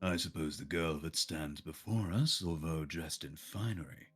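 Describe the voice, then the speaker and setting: A man's voice: a bass voice, normal speed, breathy edge, faint music behind, pitched below the performer's own voice. A man doing a pompous stately emperor. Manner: formal, stately delivery. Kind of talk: voice acting a visual novel aloud on stream.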